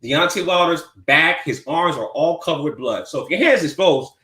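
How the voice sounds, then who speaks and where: Guy in his 40s arguing on his live, keeps repeating himself, slightly high voice, echoey room. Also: lively intonation, moderate pace, bright, forceful tone. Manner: repetitive, insistent, talks over the caller. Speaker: live stream host. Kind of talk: heated argument on a livestream.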